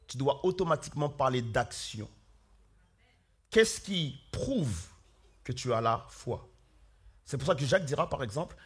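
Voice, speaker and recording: A man preaching a sermon as pastor, hoarse with flu, earnestly calling out questions to his congregation. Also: medium pitch. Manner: earnest, calls out questions to the congregation, many fillers and restarts. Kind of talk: sermon with opening prayer. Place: church hall.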